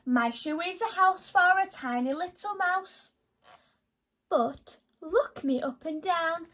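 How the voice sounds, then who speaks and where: Woman playing a cheery, high-voiced kind giant. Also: slow, a bit bright, exaggerated voice. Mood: proud singing. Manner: cheery, sing-song. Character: kind, cheerful, proud giant man in a storybook.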